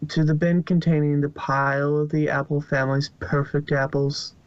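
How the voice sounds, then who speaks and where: A man's voice: fairly high pitch, little pitch movement, normal speed, no echo, muffled recording. A man narrating fan fiction as an amateur reader, in a storytelling style.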